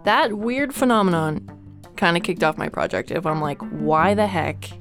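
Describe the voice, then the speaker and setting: A woman in her twenties co-hosting a podcast in casual chat, self-mocking and quick to laugh. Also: lowish voice, lively intonation, faint music behind. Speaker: podcast co-host.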